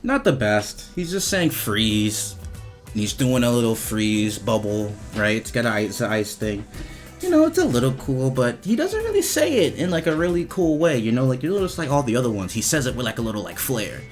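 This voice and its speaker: A man giving casual, slangy, profane commentary as he rates video game voice lines, with an animated, emphatic delivery. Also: mid-range voice, normal speed, faint music behind.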